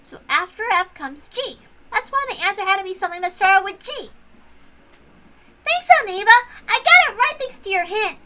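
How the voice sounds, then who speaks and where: A woman voicing an eager, confident little girl in a visual novel read-aloud, earnest and excitable. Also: very high voice, moderate pace, voice pushed up, exaggerated voice. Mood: excited.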